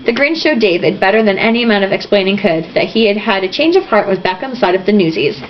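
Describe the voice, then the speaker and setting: A woman's voice: medium pitch, lively intonation, quick pace. A woman reading a novel aloud informally, theatrical and irreverent, with asides. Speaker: reader. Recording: dull lo-fi home recording, faint noise.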